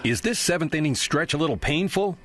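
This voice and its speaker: A man with a fairly high voice reads scripted radio ad copy for a health service. His intonation is lively and varied. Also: brisk. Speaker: advertisement announcer.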